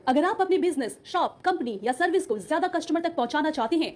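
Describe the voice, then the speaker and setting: Female ad announcer, very quick and high, scripted promo read. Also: animated, a little hiss or hum. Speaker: advertisement announcer.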